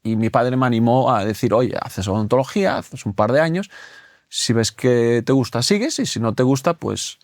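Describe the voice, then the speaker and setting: A middle-aged man from Portugal talks in Spanish with a light Galician-tinged Portuguese accent. His voice sits at an ordinary pitch and sounds close and dry, with almost no room around it. He is self-deprecating and tends to repeat words while he gathers his thoughts. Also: moderate pace, no room sound. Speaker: guest. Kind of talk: casual podcast interview.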